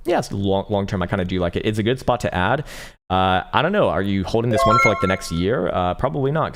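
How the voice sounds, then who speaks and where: Male livestream host: deep, raspy and tired, talks fast, rambles and swears. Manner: rambling, profane, with frequent fillers and restarts; reads viewer chat aloud. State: tired, short on sleep. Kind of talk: casual livestream chart analysis.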